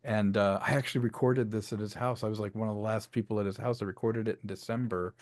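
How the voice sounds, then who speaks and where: A man's voice: fairly low pitch, fairly even intonation, quick pace, darkish tone, dry recording. A man being interviewed as a filmmaker, chatting casually and telling stories with frequent check-in questions. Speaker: filmmaker and audio drama creator being interviewed.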